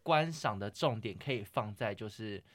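A man's voice: fairly low pitch, animated, moderate pace, fairly bright tone, no room sound, studio quality. A man with a Taiwanese Mandarin accent hosting a podcast, chatting casually about film. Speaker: podcast host.

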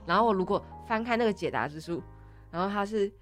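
A woman co-hosts a casual podcast chat. Her mid-pitched voice moves up and down animatedly over faint background music. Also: expressive, quick pace. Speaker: podcast co-host. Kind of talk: casual scripted-topic chat.

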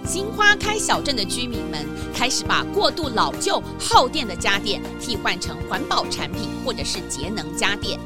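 A woman's voice: mid-range voice, sing-song, moderate pace, hardly any pauses. Sing-song woman storyteller over music. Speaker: narrator. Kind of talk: storytelling for children. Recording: loud music bed.

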